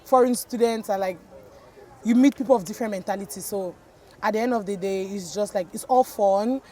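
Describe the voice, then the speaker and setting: A woman's voice: medium pitch, fairly quick, mellow. Young woman from Cameroon, chatty. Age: young adult. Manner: chatty, heavy use of "like". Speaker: interviewee, foreign resident. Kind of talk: casual interview answer.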